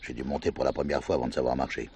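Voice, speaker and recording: An actor playing a gruff, quick-tempered man who runs a western ranch, in a French radio play. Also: rumbling low, level tone, darkish tone, slight background noise. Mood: wistful, wry.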